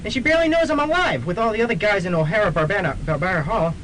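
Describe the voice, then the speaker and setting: A man's voice: animated, rapid-fire, a bit bright. An actor playing a timid, lovestruck college boy, hesitant and earnest. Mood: shy, defensive. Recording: faintly noisy student radio recording, rumble.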